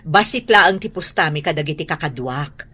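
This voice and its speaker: A woman's voice: sits low, animated, normal speed, sharp tone, slightly rough, heavily acted. A Filipino woman with an Ilocano accent playing a defensive, wheedling grown daughter in a radio drama.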